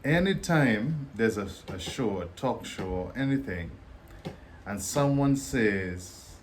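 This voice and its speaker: A man from Dominica with a Dominican accent, explaining in a relaxed, joking way as co-host of a casual vlog. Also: fairly low pitch, slow, slight background noise.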